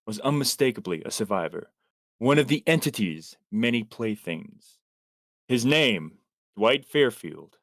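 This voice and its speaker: Guy w/ lowish voice doing a dramatic fanfic reading on stream, dry sound, stumbles a bit. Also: dry recording. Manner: theatrical, with stumbles over words. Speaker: reader of fan fiction. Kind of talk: dramatic story reading to friends on a livestream.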